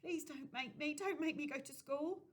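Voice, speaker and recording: A woman voicing a frightened, ragged little boy who shouts and pleads tearfully. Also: sits high, moderate pace, pitched above the performer's own voice, lightly acted. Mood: frightened, defiant. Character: scared ragged small boy, defiant.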